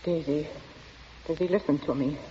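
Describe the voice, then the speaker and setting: Actress as anxious middle-aged landlady, lowish voice, hesitant and servile, muffled 1940s radio play. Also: fairly even intonation, normal speed, dark tone, lightly acted. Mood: worried. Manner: hesitant, placating, nervous. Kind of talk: 1940s radio drama acting. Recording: noisy, band-limited 1940s radio recording.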